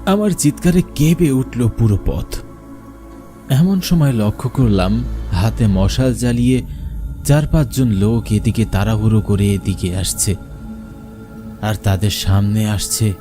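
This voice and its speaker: A man's voice: on the low side, measured pace, long pauses, dark tone. A man narrating a scripted first-person horror story in a dramatic, suspenseful way. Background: faint music.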